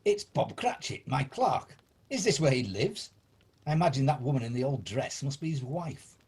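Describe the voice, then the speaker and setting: A man's voice: mid-range voice, lively intonation, moderate pace, creaky, a little mellow, slightly rough, exaggerated voice. A man playing a stubborn, grumpy, miserly older man in an amateur radio play, blustering and scornful. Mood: curious. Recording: noisy home video-call recording.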